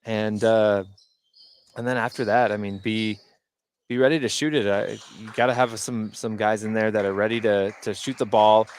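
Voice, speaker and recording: Halting male basketball color commentator. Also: lowish voice, fairly even intonation, slight background noise. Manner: halting, repeats words while forming points. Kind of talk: live conversational sports analysis. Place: indoor basketball gym.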